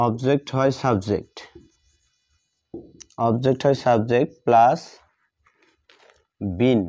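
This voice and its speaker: A man gives a step-by-step grammar lesson at a slow pace. His voice is mellow and mid-pitched, and he repeats phrases deliberately. The recording is dull and lo-fi. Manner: deliberate, repeats phrases, asks and answers own questions. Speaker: English grammar teacher. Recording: dull lo-fi sound.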